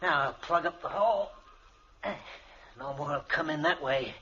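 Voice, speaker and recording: Ominous helper in an old radio play, kinda high voice, folksy and insistent, hissy muffled audio. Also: male voice, moderate pace, sharp tone. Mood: grim, matter-of-fact. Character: grim male helper stoking fears about insects. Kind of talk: old-time radio drama acting. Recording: muffled noisy vintage radio recording.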